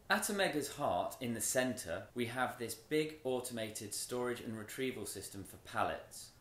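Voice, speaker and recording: A man with a mid-range voice delivers a polished product presentation from a stage. He speaks in measured, emphatic phrases and leaves deliberate pauses between points. His voice carries a clear roomy echo from the event sound system. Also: mid-range voice, fairly bright tone. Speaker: company presenter. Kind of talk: rehearsed product launch presentation. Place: stage in a large event tent with audience.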